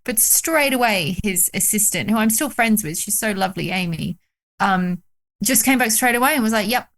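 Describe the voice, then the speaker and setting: A woman from the UK, a documentary director, talking excitedly about her film on a video call, with many fillers. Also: medium pitch, moderate pace. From United Kingdom. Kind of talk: casual interview chat.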